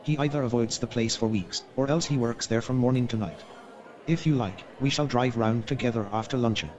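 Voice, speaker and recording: Man playing a courteous, hedging Victorian gentleman. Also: medium pitch, dull audio, lightly acted. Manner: formal, measured, hedging. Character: Stamford, courteous, hesitant, gossipy Victorian gentleman. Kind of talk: staged radio play dialogue.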